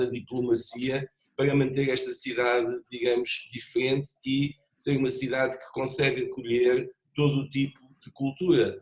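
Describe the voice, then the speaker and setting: A middle-aged man speaking in a panel discussion, measured and reflective, pausing often and restarting phrases as he builds his points. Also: medium pitch, rough recording. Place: lives in Macau.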